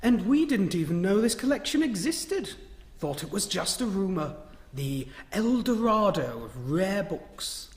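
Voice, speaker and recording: A man's voice: high-pitched, very animated, unhurried. A man playing an eager, ruthless young book collector in a live radio play, blunt and insistent. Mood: admiring. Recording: echoey hall, long reverb.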